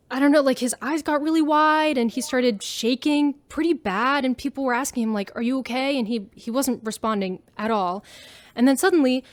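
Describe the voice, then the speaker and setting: A woman plays an awkward young female eyewitness in a sci-fi radio drama. Her voice is fairly high and recorded dry. She rambles hesitantly in slang, sounding shaken. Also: no echo, lightly acted.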